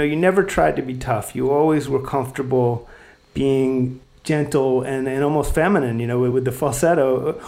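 A man's voice: mid-range voice, a little mellow. A man hosting an interview, asking long, thoughtful questions. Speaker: podcast interviewer, host.

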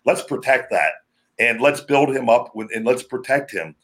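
A man with a heavy, booming voice, talking shop on a casual podcast. He rambles freely, circling back on his thoughts, at a mid pitch for a man. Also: expressive, moderate pace, fairly bright tone. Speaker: podcast host, former pro wrestler.

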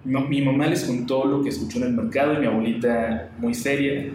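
A man with a Mexican accent reads a scary story aloud with slow, dramatic pacing, as a podcast guest. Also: medium pitch, steady tone, background noise. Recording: echoey room, mic far off.

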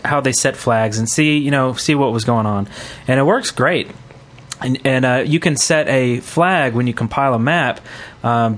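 A man hosting a gaming podcast in a casual, chatty style. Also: mid-range voice. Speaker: podcast host.

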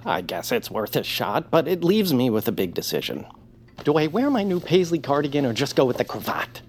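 A meek radio star, timid and self-deprecating. His pitch leaps and drops constantly as he frets. A faint hiss sits behind him. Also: sing-song, fairly quick. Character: timid male radio actor, gentle, fussy. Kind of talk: audio drama acting.

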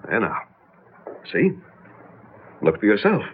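A man's voice: lowish voice, wildly swooping pitch, slow pace, a little hiss or hum, heavily acted. An anxious, paranoid middle-aged husband, his voice swinging up and down as he pleads and insists. He talks with urgent force. The sound is dull and lo-fi, like an old radio drama. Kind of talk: 1970s radio drama acting.

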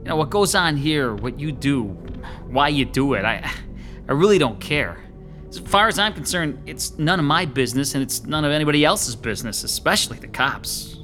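A man's voice: on the high side, lively intonation, moderate pace, pushed and bright, soft background music. An actor playing a slangy, grumbling, middle-aged male New York lackey in an audio horror drama. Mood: nervous.

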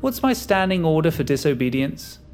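A man's voice: fairly high pitch, sing-song, normal speed, darkish tone, soft background music. A man playing a controlling, menacing leader in an audio drama, commanding and sarcastic. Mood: cold menace. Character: menacing, controlling male leader threatening his subordinate.

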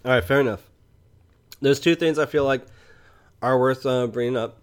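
A man with a medium-pitched, everyday voice talks as a podcast co-host. He chats casually about films, laying out his points in a conversational, explanatory manner. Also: normal speed. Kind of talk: casual movie discussion chat.

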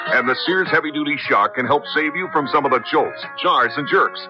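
A man's voice: fairly high pitch, brisk. A man announcing on 1970s radio in an emphatic, polished scripted delivery. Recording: dull lo-fi 1970s radio broadcast. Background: music.

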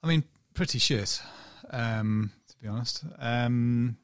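A man with a Northern English accent, a journalist guest on a casual podcast, talking in a flat, self-described monotone and rambling a little. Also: mid-range voice, slow pace, clear, resonant tone, no room sound, studio quality.

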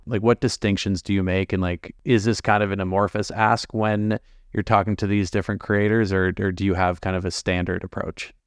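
Smooth-voiced man hosting an interview. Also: fairly low pitch, dry recording, studio quality. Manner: conversational, uses "like" often. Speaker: podcast host. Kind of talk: interview questions.

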